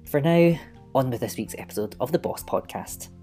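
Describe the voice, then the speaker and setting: A man's voice: mid-range voice, expressive, moderate pace, over loud music. A man hosting a podcast, reading an upbeat scripted intro. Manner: upbeat, punny announcer. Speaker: podcast host.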